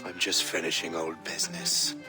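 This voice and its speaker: An actor playing a grim, understated turncoat with dry resolve in a video game. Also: male voice, low voice, little pitch movement, moderate pace, over music, natural voice, rough recording.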